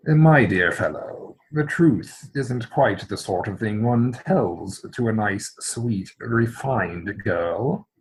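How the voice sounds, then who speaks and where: A man acting an exasperated, earnest gentleman in a Victorian stage comedy, with formal, mannered phrasing, recorded at home over a video call. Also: on the low side, lively intonation, slow, hardly any pauses, slightly rough, exaggerated voice, rough recording. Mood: breezy. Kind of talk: stage comedy dramatic reading.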